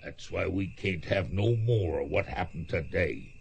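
Creaky-voiced, menacing Western gunman. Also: deep voice, measured pace, background noise. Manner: threatening, folksy frontier talk. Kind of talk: radio western drama acting.

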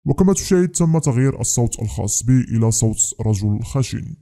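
A man sounding like a gruff deep-voiced grown man through a voice changer, demonstrating it in a tutorial. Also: animated, fairly quick, mellow. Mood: matter-of-fact. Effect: voice changer lowering the pitch.

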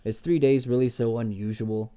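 A bitter, guarded innkeeper from an audiobook tale, acted out by a male reader. He speaks in a dark, relaxed tone at middling pitch, curt and sarcastic, used to setting the terms. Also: normal speed, clear, resonant tone, natural voice. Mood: cool, insistent. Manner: curt, cool, commanding.

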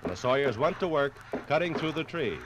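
A man narrating a scripted 1950s radio documentary in a measured, dramatic storytelling manner. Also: medium pitch, big pitch swings, noisy background.

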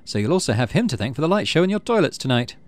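A man's voice: on the high side, sing-song, racing, voice pushed up. A man voicing a confident woman farmer in a game, declaiming as if giving a public speech. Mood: announcing. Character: confident woman farmer addressing a colony crowd.

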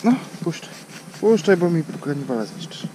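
A man's voice: fairly high pitch, sing-song, moderate pace, music in the background, poor audio. A man in his thirties filming a fishing vlog outdoors by a small river, chatting and explaining as he fishes. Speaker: fishing vlogger. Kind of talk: casual outdoor vlog talk.

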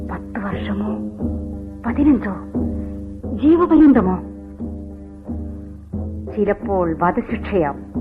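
A high-pitched, tormented woman convict in a radio play. She declaims with accusing anguish, moving between hushed brooding and outcry. The recording is tinny and narrow, with music behind her. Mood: bitter, brooding. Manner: dramatic, declamatory, swinging from hushed to crying out. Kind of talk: theatrical radio drama acting.

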